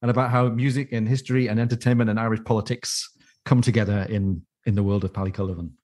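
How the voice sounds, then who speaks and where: A man co-hosting a podcast, explaining and introducing a guest in a measured way. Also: on the low side, steady tone, darkish tone. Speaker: podcast co-host. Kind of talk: casual podcast discussion.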